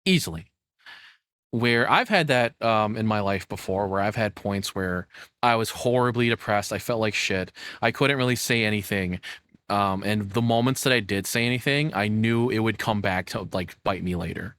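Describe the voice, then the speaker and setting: A man's voice: on the low side, moderate pace, fairly bright tone, no echo. A man giving casual streamer reaction commentary in a blunt, informal way, with some swearing.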